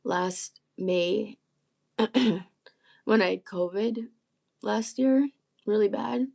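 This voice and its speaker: A woman with a gravelly, husky, croaky voice, strained after illness, chatting casually on a live stream and often clearing her throat. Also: mid-range voice, drawn-out words, warm tone. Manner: rambling, self-interrupting, frequent throat clearing. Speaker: musician and channel host.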